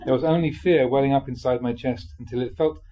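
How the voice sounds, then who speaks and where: A man reading a spiritual memoir aloud expressively, in a measured way with dramatic pauses. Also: mid-range voice, fairly even intonation, dark tone, muffled recording. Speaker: reader of Buddhist teachings.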